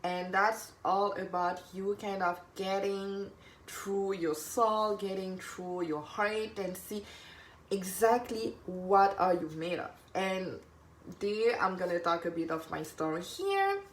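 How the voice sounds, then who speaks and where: A woman's voice: mid-range voice, slow pace. A woman from there, giving an earnest, informal live talk as a personal stylist, rephrasing and repeating for emphasis. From Cameroon. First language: French. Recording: echoey room with background noise.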